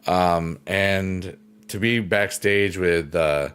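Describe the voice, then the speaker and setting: A man with a deep voice hosting a casual livestream, chatting in a rambling, unhurried way with plenty of fillers and reading viewer comments aloud. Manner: rambling, unhurried, many fillers like "you know" and "um". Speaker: livestream host.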